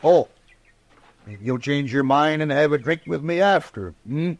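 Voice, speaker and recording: Pompous, hard-drinking frontier judge. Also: male voice, fairly high pitch, expressive, measured pace, no room sound. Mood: smug, genial. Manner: folksy but pompous and peremptory. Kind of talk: 1940s-50s radio western acting.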